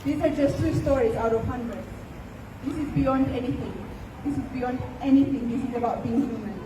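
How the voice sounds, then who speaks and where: A woman's voice: on the high side, fairly quick, background noise. A woman from Ethiopia speaking earnestly and emphatically at an outdoor protest rally. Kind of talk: impassioned protest speech. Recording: distant, echoey outdoor recording.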